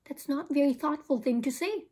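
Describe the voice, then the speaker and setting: A woman's voice: high-pitched, a little fast, warm tone, lightly acted. A woman reading the part of a practical, firm mother in a storybook, explaining herself.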